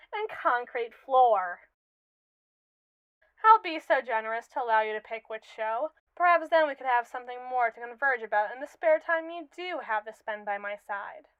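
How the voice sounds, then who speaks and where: A woman plays a scheming, captive female robot with a high, crystal-clear voice, recorded very dry and close. She is pompous and sarcastic, spinning wordy, indignant speeches with haughty condescension in an ASMR roleplay. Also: dry recording, dull audio. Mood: demanding, bored. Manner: pompous, verbose, theatrically indignant. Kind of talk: scripted ASMR roleplay monologue.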